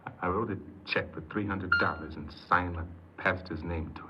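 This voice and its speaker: An actor playing a desperate, earnest ex-convict in a 1950s American radio crime drama, pleading and hesitant, often repeating himself. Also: male voice, low-pitched, brisk, close to an everyday voice. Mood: subdued confessing. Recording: muffled, hissy 1950s radio recording.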